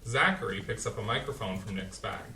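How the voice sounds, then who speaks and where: Bright man reading stage directions. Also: lowish voice, fairly quick, a little hiss or hum. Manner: plain, even, matter-of-fact. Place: small community playhouse stage. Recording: echoey hall, distant mic.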